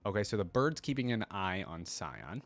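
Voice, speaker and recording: Male reaction host, lower-pitched voice, conversational and musing, faint music underneath. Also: very animated, moderate pace. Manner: musing, conversational, thinking aloud. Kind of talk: casual reaction commentary.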